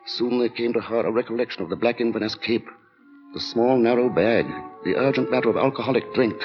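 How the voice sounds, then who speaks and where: An actor narrating a 1940s radio thriller in a measured, grave and ominous manner. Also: male voice, medium pitch, lightly acted. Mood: ominous, tense. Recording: dull vintage radio recording with music.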